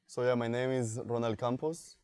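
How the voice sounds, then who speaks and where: A man's voice: mid-range voice, level tone, moderate pace, darkish tone, clean tone, no echo, clean studio sound. A nineteen-year-old man with a Costa Rican Spanish accent, answering casually in an interview. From Costa Rica. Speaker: interviewee, musician.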